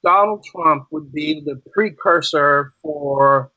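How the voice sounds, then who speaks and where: A man's voice: a little high, slow pace, muffled recording. A man in a casual interview, explaining his thoughts as a writer and guest, often repeating phrases for emphasis. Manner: animated explaining, repeats phrases, acts out imagined quotes.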